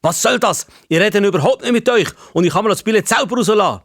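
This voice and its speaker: An irritable man shouting into his mobile in a children's story, put on by a male narrator. His voice is raised high, loud and agitated, with a Swiss German accent. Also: animated, fast, no room sound, clean studio sound, full character voice. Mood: exasperated.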